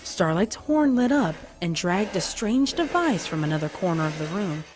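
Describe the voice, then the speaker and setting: A woman's voice: lowish voice, big pitch swings, normal speed. A woman narrator reading a dramatic fan fiction story in a scripted style.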